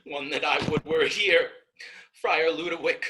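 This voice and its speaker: A woman's voice: low voice, unhurried, pushed and bright. A woman playing a pious, anguished young petitioner in a Shakespeare reading, solemn and emphatic. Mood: bitter, resigned.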